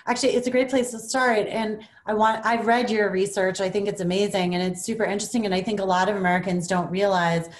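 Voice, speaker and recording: A woman's voice: medium pitch, steady tone, fast, few pauses. A woman in her forties moderating a panel on a video call from a home room, asking long enthusiastic questions. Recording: echoey room.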